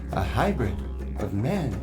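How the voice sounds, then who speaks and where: A woman's voice: rumbling low, big pitch swings, measured pace, over music, heavily acted. A woman voicing a cruel, sinister undead villainess in a fantasy game reading, gloating and taunting. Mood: menacing.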